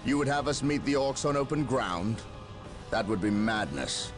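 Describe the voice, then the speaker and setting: An actor playing a stern space marine commander in a video game cutscene, calm and authoritative. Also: male voice, mid-range voice, normal speed, close to an everyday voice. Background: music.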